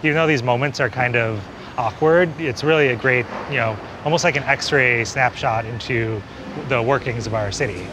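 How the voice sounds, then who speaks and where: A man's voice: mid-range voice, normal speed. A man in his forties, an architect, giving an on-camera walking tour outdoors, explaining buildings in a conversational way with many small hedges. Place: outdoors on a city street. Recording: city street noise behind.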